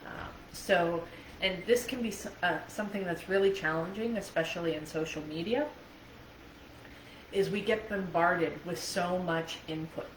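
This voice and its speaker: Low-voiced Canadian woman, echoey room. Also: measured pace. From Ontario, Canada. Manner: chatty, laughs readily at herself, digressive. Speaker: host, trauma coach livestreamer. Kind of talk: casual rambling livestream chat. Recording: echoey room with faint background noise.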